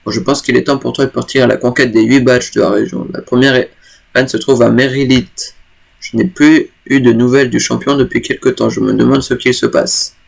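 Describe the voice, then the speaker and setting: A stuffy-nosed, gravelly game father, read out by a man. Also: medium pitch, steady tone, a little fast. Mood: encouraging. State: stuffy with a cold. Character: kindly middle-aged father giving advice. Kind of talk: reading game dialogue aloud.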